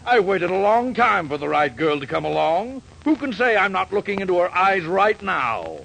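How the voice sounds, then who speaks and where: A man's voice: sits high, sing-song, moderate pace, gravelly, heavily acted. A man in a 1940s radio sitcom, playing a hot-tempered, lecherous costume judge who is pompous and oily. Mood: oily, flirtatious. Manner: pompous, oily chuckling flirt who blusters. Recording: hissy 1940s radio recording.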